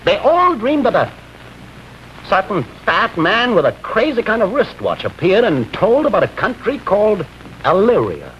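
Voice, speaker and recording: An actor with an American accent playing a frustrated, brooding police detective in a vintage television drama. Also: male voice, sits high, wildly swooping pitch, moderate pace. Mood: grave, intent. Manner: restless, brooding, emphatic. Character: frustrated, obsessive male police detective and husband. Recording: muffled, faintly noisy vintage television soundtrack.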